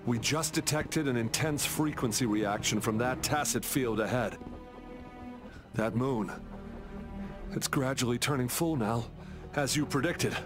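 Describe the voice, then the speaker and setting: A man's voice: medium pitch, a little slow, pauses often, natural voice. Man acting a dutiful soldier over prominent music. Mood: urgent, formal. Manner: earnest, formal. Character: dutiful male soldier reporting to his general. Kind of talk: dramatic video game acting. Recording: game music behind the voice.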